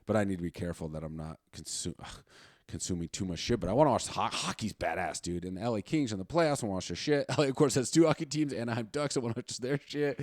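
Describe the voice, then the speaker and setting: Low-voiced man rambling casually, profanity throughout. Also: dry recording, studio quality. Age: late 30s. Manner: profane, slangy, informal. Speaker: podcast host. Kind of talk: casual rambling solo chat.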